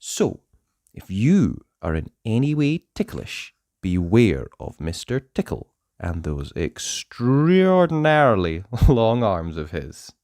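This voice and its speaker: A man narrating a children's storybook aloud in a playful way, with dramatic pauses and emphasis. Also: lowish voice, big pitch swings, a little mellow, dry recording, studio quality.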